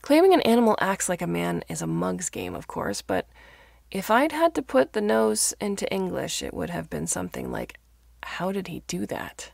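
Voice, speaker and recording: A woman reading fiction aloud as a narrator, in a measured, expressive storytelling manner. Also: fairly low pitch, gravelly, dry recording, clean studio sound. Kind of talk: scripted audiobook-style narration of fiction.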